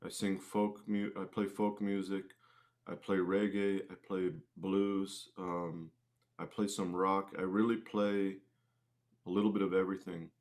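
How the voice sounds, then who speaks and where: Deep-voiced man in casual, halting interview chat. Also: little pitch movement. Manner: halting, rephrases mid-thought. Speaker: interview guest, singer-musician. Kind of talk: casual conversational interview answers.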